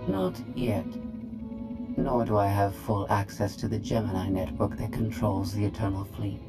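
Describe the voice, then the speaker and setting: A cold proud female droid, game character, super precise and formal, loud music under it. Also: a bass voice, normal speed. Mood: cool, clinical. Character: coldly analytical female droid intelligence, aloof and proud. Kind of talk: video game voice acting.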